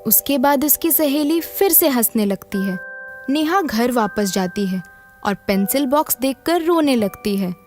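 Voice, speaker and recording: A woman tells a scripted children's story in a high voice with very lively, swinging intonation. Soft music runs behind the narration. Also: unhurried.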